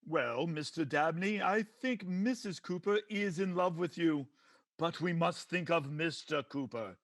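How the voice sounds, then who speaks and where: An actor playing a pompous, preachy judge in an old-time radio comedy, with theatrical delivery. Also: male voice, fairly high pitch, a little slow, fairly bright tone, dry recording, studio quality. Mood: pompous, wry. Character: pompous male judge presiding over comic domestic court.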